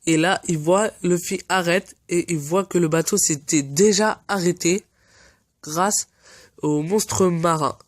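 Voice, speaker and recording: Brief: high-pitched man with a cold, hesitant fan commentary, dry close sound. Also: unhurried, no echo. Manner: earnest and hesitant, often searching for words. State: stuffy from a cold. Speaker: anime fan channel host.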